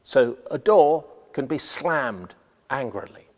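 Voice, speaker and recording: A man delivers scripted instruction at a slow, deliberate pace. His pitch moves expressively to stress key phrases, and the sound carries a long reverb, as in a hall. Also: expressive. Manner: measured, deliberate, emphatic phrasing. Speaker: radio playwright giving writing advice.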